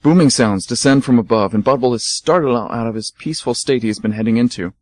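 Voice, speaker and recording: Calm male radio drama narrator. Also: medium pitch, fast talker, no echo, studio quality.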